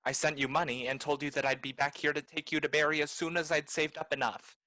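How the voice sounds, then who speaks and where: Radio play juvenile lead: female actor as earnest, loving young bridegroom with a deep voice. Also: flat delivery, fast, bright, forceful tone, dry recording. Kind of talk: 1940s radio play acting.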